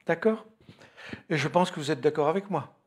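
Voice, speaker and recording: Jovial older man, husky voice. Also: on the high side, moderate pace. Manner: jovial, joking. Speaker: service leader. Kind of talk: casual remarks to a congregation. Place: small church hall. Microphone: handheld mic.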